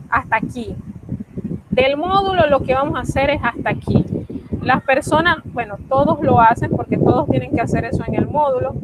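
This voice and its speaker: A woman, teaching an online French class and patiently repeating the key points. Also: high-pitched. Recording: loud background noise.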